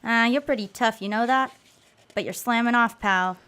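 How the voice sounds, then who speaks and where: A woman's voice: a little high, normal speed, pushed and bright, clean tone. A woman playing a sadistic gangster in a noir radio drama, menacing and taunting with slangy talk.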